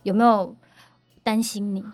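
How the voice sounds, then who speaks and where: A woman's voice: medium pitch, fairly even intonation, a little slow, no room sound. Bubbly Taiwanese woman hosting a podcast. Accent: Taiwanese Mandarin. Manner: bubbly, enthusiastic, laughs often. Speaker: podcast host. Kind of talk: casual podcast interview.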